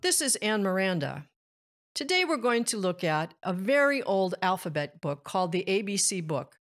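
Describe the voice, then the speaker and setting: A woman narrating a picture book for very young children, addressing them directly and pronouncing things deliberately. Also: medium pitch, sing-song, soft background music. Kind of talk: children's read-aloud, addressing young listeners.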